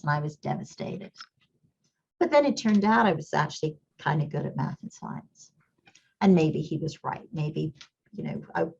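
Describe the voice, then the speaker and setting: A woman's voice: deep voice, gravelly, a little mellow, slightly rough, muffled recording. A middle-aged woman who grew up in Kenya, with a slight English accent, talks earnestly as an interview guest and repeats words while she thinks. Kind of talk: reflective interview talk.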